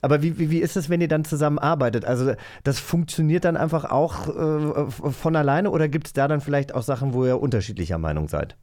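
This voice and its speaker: A man hosting a casual interview chat, often halting and restarting his words as he puts a question. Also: fairly high pitch, darkish tone. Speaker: podcast host.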